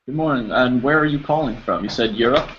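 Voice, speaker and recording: A man's voice: medium pitch, brisk, fairly bright tone, a little hiss or hum. A man, teaching an English class online in a friendly, encouraging way with simple words. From New Jersey, United States. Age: 20s. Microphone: video call. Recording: muffled video call audio.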